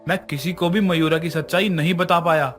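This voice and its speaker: A middle-aged husband, acted by a man in a narrated moral story, brusque and weighed down by guilt. His voice sits a little high for a man, with music underneath. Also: steady tone, a little fast, fairly bright tone, lightly acted. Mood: remorseful, confessing.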